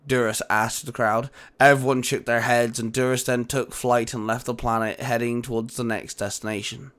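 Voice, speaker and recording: A man's voice: medium pitch, level tone, normal speed, fairly bright tone. A man reading scripted audiobook narration for a fan fiction adventure story.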